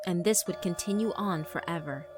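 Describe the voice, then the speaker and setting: A woman narrating a horror visual novel in a steady, measured way. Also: fairly low pitch, over music.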